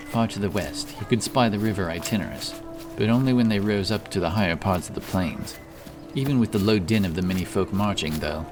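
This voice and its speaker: A man narrating a dramatic fantasy story as an audiobook, over background ambience. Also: deep voice. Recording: clear background ambience under voice.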